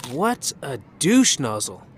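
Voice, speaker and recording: A man playing a defensive high school football player in an audio drama, sarcastic and easily indignant. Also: sing-song, slow pace, noisy background.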